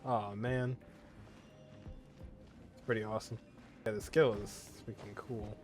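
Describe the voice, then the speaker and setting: A man's voice: on the low side, fairly even intonation, a little slow. Young man casually commentating over game music. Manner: reacts with short exclamations and muttered asides. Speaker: gaming streamer. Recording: faint game music behind the voice. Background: video game music.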